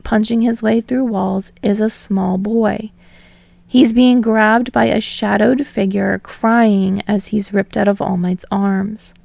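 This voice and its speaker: A woman narrator reading fiction aloud in an expressive, storytelling style. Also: medium pitch, vocal fry, no room sound. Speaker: podfic narrator.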